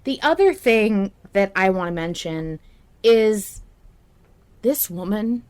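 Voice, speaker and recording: A woman co-hosting a podcast in casual fan discussion, speaking emphatically and quick to laugh. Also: mid-range voice, expressive, darkish tone. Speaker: podcast co-host.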